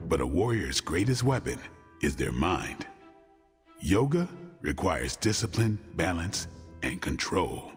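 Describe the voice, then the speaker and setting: A man playing a gruff, guarded, bookish vampire-hunter warrior with dry wit, in video game cutscene dialogue. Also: deep voice. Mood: calm, instructive. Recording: long reverb, music underneath.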